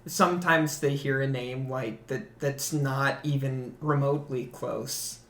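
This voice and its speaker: A young man in his twenties with a low voice, co-hosting a casual podcast chat, rambling and self-mocking. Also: steady tone, measured pace, a bit bright. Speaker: podcast co-host. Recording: roomy echo.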